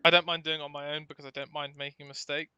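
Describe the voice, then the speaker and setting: A man with a very bright, forceful voice chats casually as a podcast co-host. His tone is clean and crisp, sitting a little high, and he rambles informally through what he has to say. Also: level tone, very fast, dry recording, lo-fi sound.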